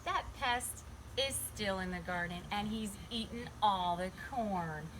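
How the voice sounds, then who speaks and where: A woman voicing excitable, complaining storybook farm animals in an exclamatory read-aloud voice, on a noisy recording. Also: medium pitch, measured pace, natural voice.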